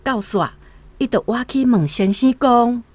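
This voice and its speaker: A woman's voice: fairly high pitch, expressive, unhurried. A woman with a Taiwanese accent narrating a scripted literary radio story expressively. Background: soft plucked-string music.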